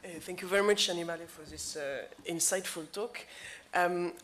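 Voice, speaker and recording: A woman's voice: fairly low pitch, steady tone, normal speed, background noise. A woman chairing a conference panel, introducing speakers in a lightly joking, apologetic way.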